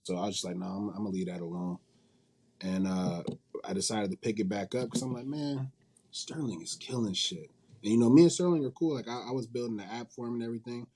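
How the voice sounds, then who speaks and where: Male interview guest, lowish pitch and very mellow tone, casual, wandering, self-correcting delivery. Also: fast. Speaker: interview guest, manga company head and creator.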